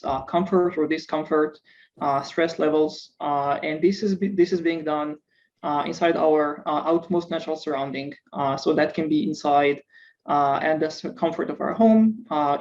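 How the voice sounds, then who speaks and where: A man's voice: a little high, a little mellow, dull audio, a little hiss or hum. A startup founder from Israel, a man, answering interview questions hesitantly, with many filler sounds. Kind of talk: casual interview over video call.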